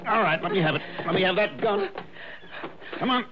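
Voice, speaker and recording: An actor playing a wry, crisp, skeptical insurance investigator in a 1960s radio detective drama. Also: male voice, sits high, a little fast, background noise, close to an everyday voice. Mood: firm. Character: wry, hard-boiled male freelance insurance investigator. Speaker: lead actor and first-person narrator. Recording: dull vintage radio recording, loud music behind.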